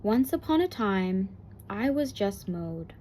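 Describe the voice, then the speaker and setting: A woman voicing a fond, playful grandmother from a children's picture book, with a gently teasing manner. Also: medium pitch, big pitch swings, slow pace, slight background noise.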